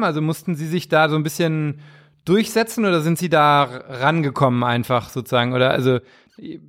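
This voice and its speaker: A man's voice: fairly high pitch, a bit bright. A man hosting a podcast interview in a conversational way, feeling his way through wordy, rephrased questions.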